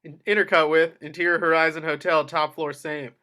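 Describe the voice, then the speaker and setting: A man reads screenplay stage directions as narrator. His voice sits slightly high, following scene headings and action at a table read. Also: flat delivery, fairly quick, bright, forceful tone.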